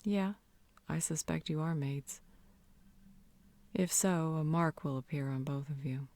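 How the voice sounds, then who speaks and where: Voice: medium pitch, unhurried, a little mellow, no room sound, natural voice. A performer playing a knowing, blunt healer in a scripted fantasy audio roleplay, coy and withholding in manner. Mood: cryptic, dismissive. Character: knowing, cryptic, blunt vampire-world healer.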